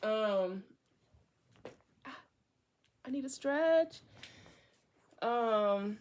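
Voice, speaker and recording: A young woman from Virginia reading a book aloud in a casual way, often drifting into chatty side remarks, with a high and often loud voice. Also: lively intonation, drawn-out words. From Virginia, USA. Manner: chatty, digressive, mixes reading with asides. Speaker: book reader. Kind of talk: casual read-aloud with tangents.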